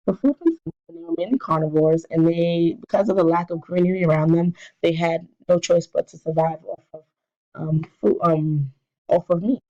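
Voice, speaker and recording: A woman's voice: fairly low pitch, normal speed, dark tone, highs cut off. A young woman, stuffy from a cold, presenting a class essay with frequent fillers and restarts. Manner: hesitant, many fillers and restarts. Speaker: student presenter.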